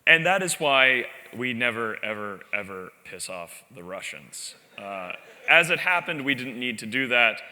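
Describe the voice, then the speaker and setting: A man giving a live conference talk, telling stories in an animated, wry way with self-deprecating asides, speaking from a stage in a large hall. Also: medium pitch, a little slow. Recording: long reverb of a large hall.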